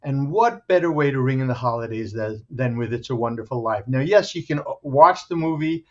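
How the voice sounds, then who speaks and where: A man's voice: medium pitch, animated, brisk, darkish tone. A man giving an enthusiastic, descriptive interview as a theater director promoting a show. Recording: dull, muffled lo-fi sound.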